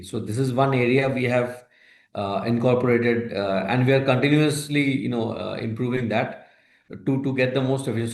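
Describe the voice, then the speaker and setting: A man with an Indian accent answers investor questions as a company executive, explaining in a halting, hesitant way with broken phrases. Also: medium pitch. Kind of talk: earnings call answers, explanatory. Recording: roomy echo on a conference call.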